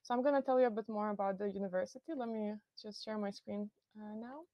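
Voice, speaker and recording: A woman's voice: medium pitch, steady tone, quick pace, dark tone, no echo, muffled recording. A young woman from Lithuania with a Lithuanian accent, giving a university recruitment webinar in a friendly, informative way with many fillers. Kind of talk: webinar presentation. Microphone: computer mic on a video call.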